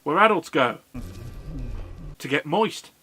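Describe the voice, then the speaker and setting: A man's voice: fairly high pitch, lively intonation, takes it slowly, pushed and bright, soft background music. A man narrating a scripted comedic film review in a sarcastic, sweary manner. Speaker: film review video host.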